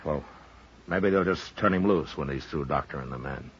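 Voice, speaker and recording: An American actor playing a stoic, world-weary frontier marshal in a 1950s radio western, speaking in a measured, laconic way. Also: male voice, a bass voice, a little hiss or hum. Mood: worried, resigned. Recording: dull old radio recording, faint music.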